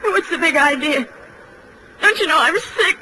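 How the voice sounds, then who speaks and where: A woman's voice: sits high, lively intonation, normal speed. An actress playing a troubled, haunted young woman in an overwrought, melodramatic 1940s radio detective drama style, on a noisy old recording. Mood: bitter, agitated. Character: troubled, haunted young woman who believes herself evil.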